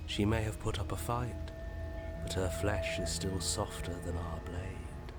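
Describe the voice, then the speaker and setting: An actor playing the blunt inner voice of a hero in a game, dry and matter-of-fact. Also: male voice, low voice, fairly even intonation, a little slow. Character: inner heroic male voice, calm, blunt and wry. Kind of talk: video game voice acting. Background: game music.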